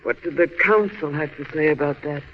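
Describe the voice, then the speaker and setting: A woman playing a gentle, anxious alien wife and mother in an old-style radio drama, earnest and questioning. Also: low voice, a little fast, lightly acted. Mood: anxious curiosity. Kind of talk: 1940s radio drama acting. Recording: muffled, hissy old radio recording.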